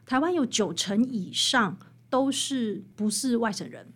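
A woman's voice: fairly high pitch, expressive, a little slow, slightly rough. A woman with a Taiwanese Mandarin accent explaining things emphatically and animatedly as an interview guest. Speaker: guest author, researcher of popular songs. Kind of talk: interview conversation, explanatory storytelling.

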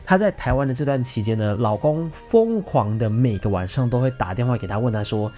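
A man's voice: mid-range voice, animated, fairly quick, dark tone, soft background music. A man in his thirties with a Taiwanese Mandarin accent, hosting a podcast in a theatrical, gossipy, chatty style with bits of English. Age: 30s. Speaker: podcast host. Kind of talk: casual chatty monologue to listeners.

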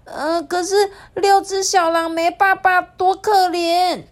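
A woman voicing a young, stubborn, kind-hearted fox fairy in a high, loud, pleading voice. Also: measured pace. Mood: desperate.

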